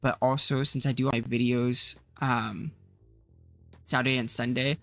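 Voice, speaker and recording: A man streaming a survival game, thinking aloud in casual commentary, his voice a bit rough and tired. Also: mid-range voice, little pitch movement, unhurried, fairly bright tone, no echo, soft background music. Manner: rambling, thinking aloud with fillers. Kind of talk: casual let's-play commentary.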